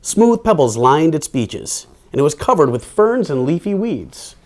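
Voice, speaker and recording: A man's voice: on the high side, very animated, unhurried, a little mellow. A man hosting children's storytime, reading a picture book aloud with playful, theatrical delivery and casual asides.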